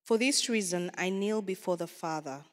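A woman reads scripture aloud in a slow, measured way, her voice fairly low for a woman. She sounds distant, with the long reverb of a large church hall. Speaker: scripture reader.

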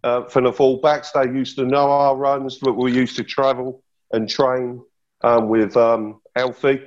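A man's voice: mid-range voice, little pitch movement, a little slow, darkish tone, dull audio. A man, a former footballer, talking in a matter-of-fact, matey way. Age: 50s. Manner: matter-of-fact, matey, says "mate" a lot. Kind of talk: casual reminiscing chat.

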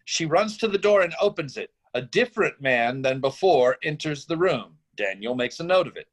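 A man's voice: a little high, lively intonation, normal speed. A man narrating a screenplay, reading out stage directions as scripted narration.

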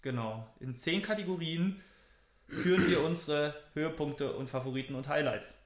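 A man's voice: a little high, moderate pace, reverberant, slight background noise. A man co-hosting a podcast in casual chat, enthusiastic and rambling with restarts. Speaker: podcast co-host.

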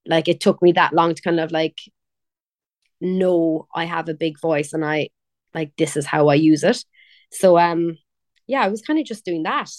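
A woman's voice: low-pitched, moderate pace, darkish tone, dry recording, clean studio sound. A young woman from Cork with a Cork Irish accent, chatting casually as an interview guest, laughing and quoting others. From Ireland. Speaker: pop singer, guest.

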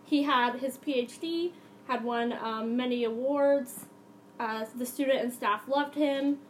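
A woman's voice: sits high, a little slow, slight background noise. A young adult woman hosting a podcast, telling a true crime story in a casual, conversational way.

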